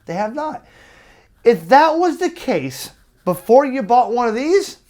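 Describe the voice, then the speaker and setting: A man hosts an opinionated hobby video with a very animated, swinging pitch. His pace is slow and deliberate, pausing for weight on key words. He argues emphatically, often posing questions to the listener. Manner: emphatic, with rhetorical questions and pauses for effect; exasperated, argumentative. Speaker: amateur radio YouTube host. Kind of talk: opinionated rant to camera.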